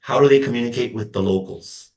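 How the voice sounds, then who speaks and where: A man's voice: medium pitch, brisk, a little mellow, roomy sound. A man hosting an interview, asking structured questions courteously. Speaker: interview host.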